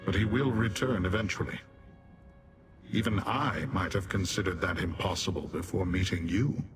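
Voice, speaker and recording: Deep, proud immortal warrior. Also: male voice, fairly even intonation, normal speed, loud music behind the voice. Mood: proud, solemn. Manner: formal, declamatory. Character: proud immortal male Daedra warrior, grave and stern. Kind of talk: scripted fantasy game acting.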